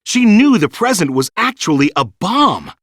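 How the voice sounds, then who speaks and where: A man reading a children's storybook in an animated, dramatic storytelling manner. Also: high-pitched, normal speed, dry recording, clean studio sound. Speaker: storybook narrator. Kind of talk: scripted children's storybook narration.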